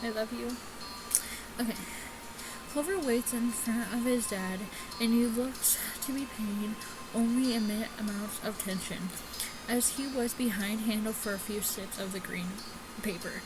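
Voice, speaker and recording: A woman hosting a casual let's play, reading game text aloud in a halting way with small stumbles. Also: a little high, loud music behind the voice. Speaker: gaming video host. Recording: clearly noisy home recording.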